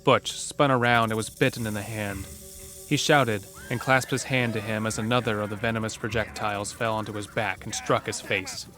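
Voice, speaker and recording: A man's voice: fairly low pitch, fairly even intonation, moderate pace, a bit bright, faint music behind. A man narrating a scripted western horror story for an audio drama.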